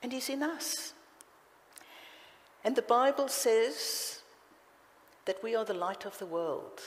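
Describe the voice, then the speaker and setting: A woman's voice: a little high, animated, slow pace. A woman with a South African accent preaching a passionate, emphatic sermon. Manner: passionate, emphatic, with sudden loud lifts. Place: church hall. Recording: reverberant hall.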